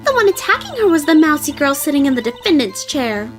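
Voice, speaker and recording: A woman's voice: sits high, very animated, fast talker. A woman playing a giggly, flirty ditzy witness. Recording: with background music.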